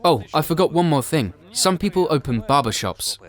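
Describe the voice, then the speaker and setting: A man reading a scripted documentary voice-over, explaining things in an even, explanatory way. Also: on the high side, moderate pace. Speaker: documentary voice-over narrator and translator.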